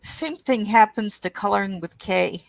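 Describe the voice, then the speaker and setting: A woman with a croaky voice hosting a coloring livestream, chatting casually and reading chat aloud at an easy pace. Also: mid-range voice, no room sound. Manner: slow, unhurried, reads chat aloud with soft asides. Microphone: webcam.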